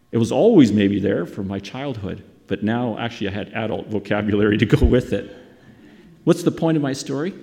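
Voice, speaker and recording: A man's voice: medium pitch, vocal fry, dark tone, in a large hall. A middle-aged man preaching a sermon in a folksy, conversational storytelling manner. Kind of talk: sermon with personal anecdotes.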